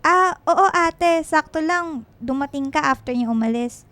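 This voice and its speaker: A high-pitched, cheerful teenage little sister. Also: female voice, measured pace, a bit bright, no room sound. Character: Agatha, cheerful, bashful sixteen-year-old little sister. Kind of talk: radio drama dialogue.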